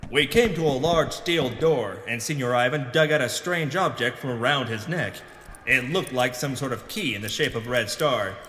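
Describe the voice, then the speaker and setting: A man's voice: mid-range voice, bright, forceful tone, a little hiss or hum, lightly acted. Man playing a grave pulp hero, echoing voice. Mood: grave, suspenseful. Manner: melodramatic, grave, deliberate hard-boiled delivery. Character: pompous hard-boiled pulp adventurer hero narrating his own exploits. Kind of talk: pulp radio serial narration parody. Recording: reverberant voice over background music.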